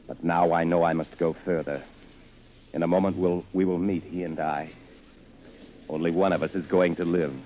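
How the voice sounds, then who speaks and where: A man's voice: low voice, fairly even intonation, normal speed, long pauses, slight background noise, lightly acted. An actor playing a desperate but resolute hunted man, narrating his ordeal with dramatic urgency in a vintage radio suspense drama. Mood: grim, resolved. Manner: intense, dramatic, breathless urgency with clipped phrases. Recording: tinny old radio broadcast, loud music behind.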